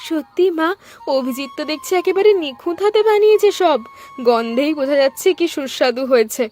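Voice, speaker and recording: A woman voicing a supportive, gentle village daughter-in-law in a cartoon, earnest and reassuring. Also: sits high, lively intonation, brisk. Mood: glad. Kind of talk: Bengali cartoon dubbing. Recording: clear music bed behind the voice.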